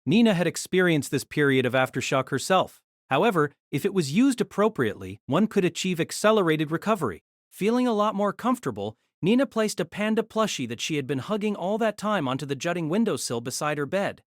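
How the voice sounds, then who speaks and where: A male narrator reading a light novel aloud, his voice sitting a little high and moving lively through each sentence. The recording is dry and close, with no room sound. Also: a little fast, no echo.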